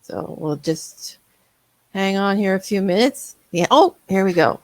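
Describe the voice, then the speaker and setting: Woman moderator, video call, casual halting talk, mid pitch, dry sound. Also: animated, no echo. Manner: halting, many fillers and restarts. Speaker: panel moderator. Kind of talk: casual online panel talk.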